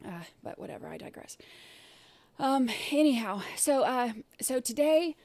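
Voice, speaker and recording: A woman hosts a casual livestream, her voice gravelly and scratchy from an irritated throat. She sits a bit high in pitch and keeps clearing her throat. She rambles with fillers and restarts, and the sound is dry and close. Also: big pitch swings, a little slow, a little mellow, no echo. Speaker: livestream host.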